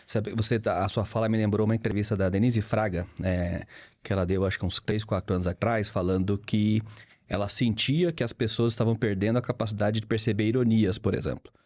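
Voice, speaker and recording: A man's voice: on the low side, steady tone, a little fast, a little mellow, no room sound. A man aged fifty with a Brazilian accent, a guest teacher explaining things conversationally with examples and repetition. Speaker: guest, public speaking teacher.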